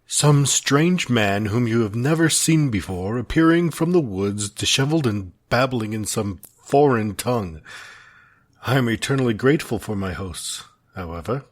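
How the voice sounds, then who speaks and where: A man acting an earnest, lovelorn gentleman of old New York, reading his formal letter in a solemn, wistful, measured way with a husky voice. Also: medium pitch, lively intonation, no echo, lightly acted. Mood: earnest, bemused.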